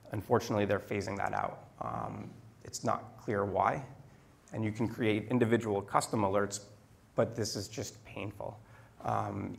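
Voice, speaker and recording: Middle-aged male presenter, low and very gravelly, handheld mic in a meetup room, measured with ums. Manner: measured, frequent ums and restarts. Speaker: conference speaker. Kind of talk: technical presentation. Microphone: handheld microphone.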